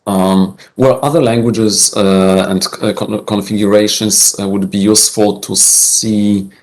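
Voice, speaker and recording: A man answering audience questions at an academic conference, hesitant and measured, with many fillers. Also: lowish voice, little pitch movement, a little mellow.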